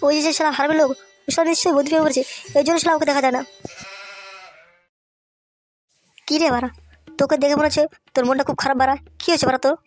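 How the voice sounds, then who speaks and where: A foul-mouthed young village man from a comedy cartoon, put on by a performer, brash and slangy. The voice is high and rattles along quickly over background music. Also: natural voice. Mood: gossipy, suspicious. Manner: crude slangy banter, brash. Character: foul-mouthed young village slacker men, scheming, teasing friends. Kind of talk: comic cartoon dialogue. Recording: music behind the voice.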